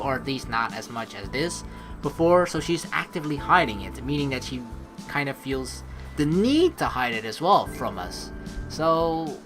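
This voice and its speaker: A woman hosting a let's play in a chatty, rambling, earnest way, mixing casual commentary with reading game text aloud. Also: sits low.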